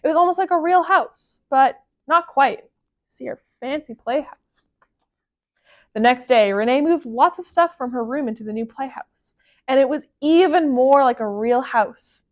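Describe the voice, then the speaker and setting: High-voiced woman reading a children's story. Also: normal speed, highs cut off. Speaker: library storyteller. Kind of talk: children's storybook read-aloud.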